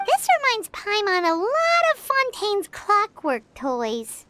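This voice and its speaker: Tiny squeaky excitable fairy girl. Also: slow, no room sound, full character voice. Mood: chatty. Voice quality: squeaky, childlike. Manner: speaks of self in third person, expressive. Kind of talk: English video game voice acting. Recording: loud music behind the voice.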